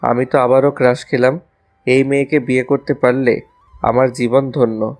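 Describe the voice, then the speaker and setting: A man's voice: mid-range voice, flat delivery, normal speed, darkish tone, lightly acted. A man playing a lovestruck, casual young college student in a romantic audio story. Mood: dreamy musing.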